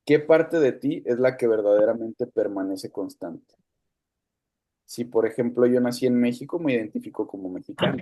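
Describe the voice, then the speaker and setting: A man teaching a spiritual class over a video call, speaking in a calm, measured way with pauses and rhetorical questions. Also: on the low side. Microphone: computer mic on a video call, no headphones.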